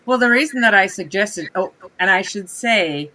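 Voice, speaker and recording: A chatty woman from Minnesota, hoarse from a recent cold yet still bright and forceful in tone. She is a livestream guest who talks through her stories in an animated, easy way. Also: mid-range voice, moderate pace. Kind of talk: casual livestream conversation.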